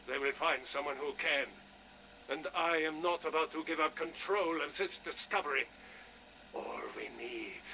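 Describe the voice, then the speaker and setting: A man playing a cold, fanatical Nazi German scientist who speaks in stern, formal, measured threats in a game. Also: on the high side, pushed and bright, a little hiss or hum, rough recording. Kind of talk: dramatic game audio log dialogue.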